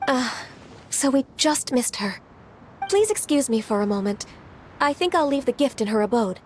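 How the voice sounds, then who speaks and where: A woman voicing a gentle, polite and considerate young female friend in an anime-style video game, with a courteous manner. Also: sits high, animated, normal speed, a little hiss or hum. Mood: polite, friendly. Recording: music faintly behind the voice.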